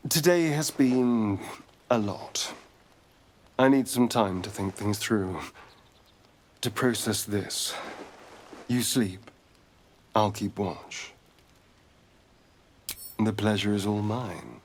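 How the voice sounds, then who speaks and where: A man's voice: on the low side, slow pace, long pauses, slight background noise. A man playing a sardonic, vain elf rogue in a video game, with a wry, theatrical drawl. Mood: uneasy, guarded. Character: sardonic, vain male pale elf rogue with dry wit. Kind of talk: cinematic video game dialogue.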